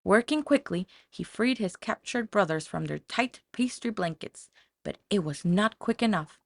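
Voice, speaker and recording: A woman narrating a children's story from a script in a dramatic, expressive storytelling manner. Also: mid-range voice, moderate pace, creaky, no echo. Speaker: audiobook narrator.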